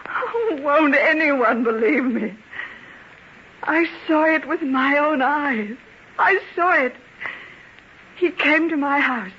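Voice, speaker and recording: Distraught lovelorn gentlewoman, high and bright, fervent vintage radio melodrama. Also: lively intonation, exaggerated voice. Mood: desperate. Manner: slow, fervent, melodramatic pleading. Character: distraught, pleading gentlewoman in love with a doomed man. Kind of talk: old-time radio drama acting. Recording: dull, hissy old radio recording.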